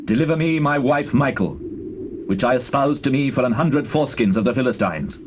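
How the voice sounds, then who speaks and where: Actor as solemn, measured King David. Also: mid-range voice, lively intonation, lightly acted. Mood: stern. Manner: measured, weighty, declamatory. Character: King David, solemn, grave, commanding male monarch. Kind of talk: dramatized Bible reading. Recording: noisy old cassette tape transfer.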